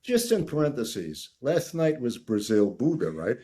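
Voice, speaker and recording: An older man from the United States spins a story with wide swings in pitch, leaning into punchlines and slipping into quick voices for the people he quotes. His voice has a husky, slightly rough edge. He keeps the listener close by tagging his lines with "right?". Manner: animated raconteur, repeats "right?", acts out quoted voices. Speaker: guest. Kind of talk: storytelling in conversation.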